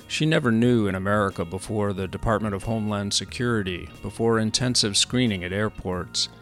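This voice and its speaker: An older man, the host of a podcast, narrating in a measured, earnest scripted way. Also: fairly low pitch, fairly bright tone, faint music behind.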